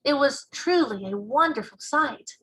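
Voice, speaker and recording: A woman's voice: high voice, lively intonation, a little slow, fairly bright tone, poor audio. A woman narrating a storybook as a teacher reading aloud.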